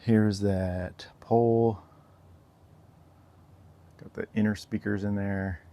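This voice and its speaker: A man's voice: fairly low pitch, steady tone, slow pace, dark tone. A man giving a casual, hands-on product review in an informal way, with trailing asides.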